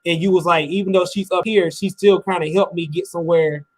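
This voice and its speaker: A man in his late twenties hosting a casual interview, cutting in with quick agreement and affirming the guest. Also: high voice, fairly even intonation. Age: 20s. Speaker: interviewer, host.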